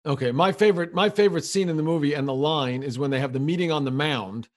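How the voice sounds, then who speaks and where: A man hosting a podcast, eagerly firing quick questions back and forth with his guest. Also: fairly high pitch. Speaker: podcast host. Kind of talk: podcast conversation.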